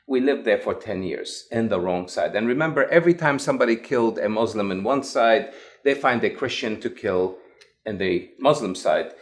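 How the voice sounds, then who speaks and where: A man from Lebanon with an English accent, a guest recounting his past in an interview with animated, emphatic delivery. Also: fairly low pitch, moderate pace. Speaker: guest, evangelist. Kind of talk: interview storytelling.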